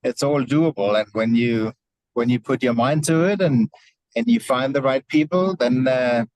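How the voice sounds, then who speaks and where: A man's voice: mid-range voice, normal speed, a little mellow, a little hiss or hum, low-quality recording. A man speaking on a video call from his car, upbeat, often repeating words and adding "right?". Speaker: team leader. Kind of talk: casual remarks on a call.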